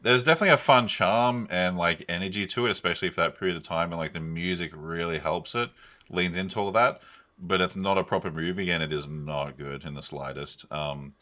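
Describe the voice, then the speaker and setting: A man's voice: low voice, brisk, bright, forceful tone. A young man co-hosting a podcast, giving casual, unscripted reviews in a rambling, slangy way. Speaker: podcast co-host. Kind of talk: casual unscripted film and game review chat.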